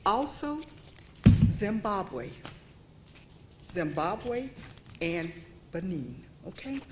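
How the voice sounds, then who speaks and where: A woman's voice: medium pitch, very animated, very slow, slight background noise. Emphatic woman giving a talk. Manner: emphatic, drops to a near whisper for stress; conversational, asks the audience "okay?". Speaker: guest speaker. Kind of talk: public talk on history. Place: church meeting room.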